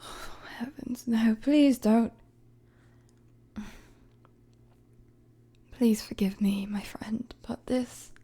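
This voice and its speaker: A woman playing a weary, guarded young queen in a dramatic audio roleplay, with a soft, breathy voice and measured, formal, hesitant phrasing. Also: on the high side, full character voice. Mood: awkward, pitying. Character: weary young queen, regal, guarded, firm with her friend.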